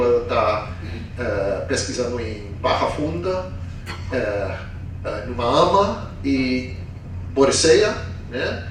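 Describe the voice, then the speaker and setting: A man's voice: medium pitch, a bit bright. Echoey, distant man presenting informally. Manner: halting, with repeated tag questions. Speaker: professor presenting students. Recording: very echoey room, distant mic, faint noise.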